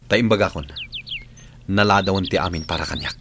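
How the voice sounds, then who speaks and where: An actor with an Ilocano Filipino accent playing a fatalistic, defiant fugitive in a dramatic radio play. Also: male voice, low-pitched, a little fast, gravelly, background noise. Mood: defiant, resigned. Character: fatalistic armed male fugitive, defiant toward his friend. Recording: old AM radio drama, music underneath. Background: dramatic music.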